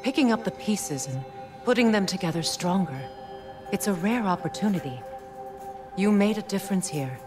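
An actress playing an earnest, dignified female warrior leader in a video game, sincere, measured and encouraging. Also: medium pitch, expressive, music in the background, natural voice. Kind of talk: dramatic game acting.

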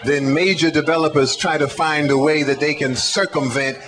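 A man in his sixties speaking deliberately and emphatically at an outdoor rally through a handheld microphone. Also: on the high side, steady tone, fairly bright tone. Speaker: activist speaker. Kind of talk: rally speech. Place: outdoor city street stage.

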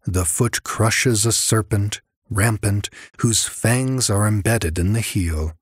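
Cunning vengeful aristocrat, played by a male narrator, gothic audiobook; slow, husky, formally polite. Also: on the low side, no echo, clean studio sound. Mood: courtly, proud. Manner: formal, falsely solicitous. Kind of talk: dramatic audiobook dialogue.

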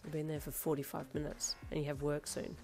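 A woman's voice: low-pitched, flat delivery, a little fast, vocal fry, darkish tone, soft background music. A woman voicing a nonchalant young boyfriend in an audio story, with dry, teasing delivery. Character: laid-back, teasing young boyfriend, smirking and unfazed. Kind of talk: acted audio story dialogue.

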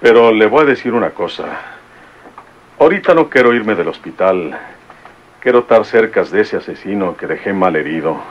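An actor playing a blunt, folksy rural police chief in a vintage radio drama. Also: male voice, lowish voice, expressive, moderate pace. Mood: gruff. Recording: dull old radio recording.

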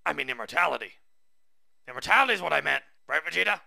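Henchman for parody anime: dim, eager, goofy, very creaky, piercingly bright. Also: fairly high pitch, expressive, fast talker, no echo, clean studio sound, full character voice. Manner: goofy, blurting. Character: eager, dim-witted adult male alien henchman.

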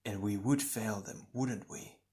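A man voicing a cold, brooding shadow double of an ice warrior, soft and slowly insinuating. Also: on the low side, lightly acted. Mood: bleak, insinuating. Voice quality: soft, hushed.

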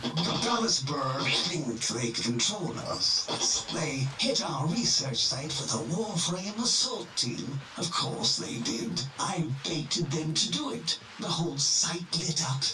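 A ruthless old tycoon from a sci-fi game, grandiose and theatrical. His high voice moves slowly and deliberately. It echoes under loud music. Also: very animated, a little hiss or hum, heavily acted. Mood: proud, menacing. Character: calculating older male tycoon, ruthless visionary. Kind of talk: dramatic recorded monologue in a sci-fi game.

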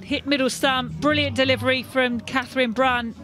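A woman's voice: high-pitched, fairly even intonation, normal speed, a bit bright, faint music behind. A woman giving cricket commentary, analysing the play. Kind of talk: sports replay analysis.

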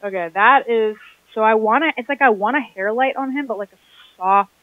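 A woman's voice: fairly high pitch, brisk, no room sound. A woman in her twenties chatting casually on a film set through a dull radio intercom headset. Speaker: filmmaker. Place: dim room indoors. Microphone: headset intercom mic.

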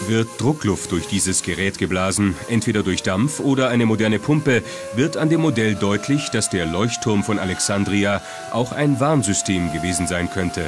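A man's voice: fairly low pitch, lively intonation, normal speed, few pauses. A man reading scripted documentary narration. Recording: music playing behind the narration. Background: music.

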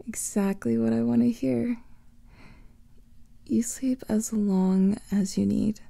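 Casting: woman playing a doting vampire girlfriend, slow breathy whisper, dark gravelly tone, dry close mic. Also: fairly high pitch, fairly even intonation, no echo. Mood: affectionate. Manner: soft intimate whispering, doting. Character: affectionate female vampire lover, doting. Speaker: ASMR roleplay performer. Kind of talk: whispered ASMR roleplay.

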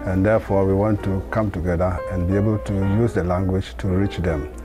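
A man's voice: low voice, fairly even intonation, brisk, over music. A man from Ghana, a congregation elder, speaking earnestly in a documentary interview.

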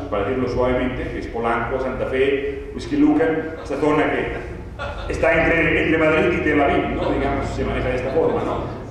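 A man in his forties, an author, telling a long story to an audience at a panel table, with lively asides and gestures. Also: mid-range voice. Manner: animated storytelling with wide hand gestures and asides. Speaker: author presenting his book. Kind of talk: lecture-style talk to an audience. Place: meeting room at a panel table. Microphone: table mic on a stand. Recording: roomy hall sound, mic at a distance, faint hiss.

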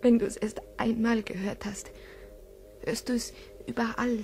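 An actress playing a frightened young daughter in an old radio drama, speaking in a fearful whisper. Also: female voice, a little high, steady tone, moderate pace, warm tone, close to an everyday voice. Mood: dread. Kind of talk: 1950s radio drama acting. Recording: old 1950s radio recording with hiss.

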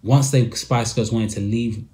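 A young man talks straight to camera in a small room, hosting his podcast with emphatic, animated delivery. His voice sits at an ordinary middle pitch for a man. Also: measured pace. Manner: animated, emphatic with hand gestures. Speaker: podcast host. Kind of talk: commentary to camera.

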